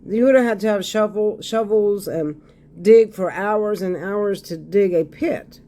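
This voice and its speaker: A hoarse man giving a casual tarot reading to his viewers, repeating phrases and often checking in with "okay?". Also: high voice, a little slow, warm tone. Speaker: tarot card reader.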